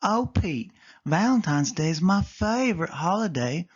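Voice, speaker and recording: A man's voice: high-pitched, lively intonation, measured pace, slightly rough, lo-fi sound, pitched above the performer's own voice, exaggerated voice. Callie, a man doing a chirpy girl cat. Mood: cheerful. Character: cheerful young girl cat, friendly.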